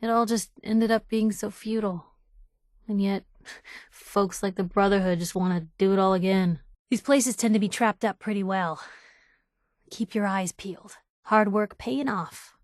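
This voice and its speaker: A woman's voice: medium pitch, normal speed, darkish tone, dry recording, close to an everyday voice. An actress playing a plucky, sardonic young woman reporter in a post-apocalyptic video game, with a wry, conversational delivery. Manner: wry, dry-witted, conversational. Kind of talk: video game character voice lines.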